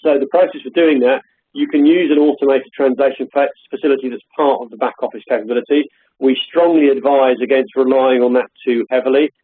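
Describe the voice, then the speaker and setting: A husky man walks through a software demo, often pausing and restarting. His voice comes over tinny, phone-like call audio from a home office. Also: mid-range voice. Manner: hesitant, filler-heavy explaining. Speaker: software product demonstrator. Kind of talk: live webinar product demo.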